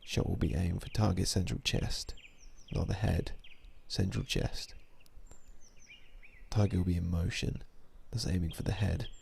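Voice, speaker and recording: A man playing a weary, cynical vampire-hunting sniper in an ASMR audio drama, speaking in a brooding, low-key, deliberate way. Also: fairly even intonation, creaky, a little mellow, a little hiss or hum, exaggerated voice. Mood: clinical, detached.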